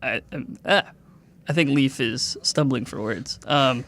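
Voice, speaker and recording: A man chatting and role-playing casually as a player in a tabletop game, with halting, deadpan phrasing. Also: medium pitch, no room sound. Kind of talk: casual improvised role-play and chat.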